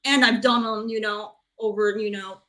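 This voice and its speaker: A woman's voice: medium pitch, steady tone, normal speed, fairly bright tone. A woman speaking as a panelist in a casual chat, blunt and emphatic with her opinions. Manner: emphatic, blunt, repeats points. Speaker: panelist on a gaming talk show.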